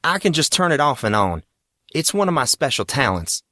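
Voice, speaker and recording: A man's voice: medium pitch, very animated, moderate pace, sharp tone, no echo, clean studio sound, pitched above the performer's own voice. A man voicing an agitated young gadget expert who rambles urgently and earnestly in an audiobook story.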